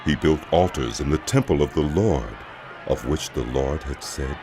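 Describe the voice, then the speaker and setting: A man narrating scripture for a dramatized audio production in a solemn, measured storytelling manner. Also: very deep voice. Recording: loud music behind the voice. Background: loud music.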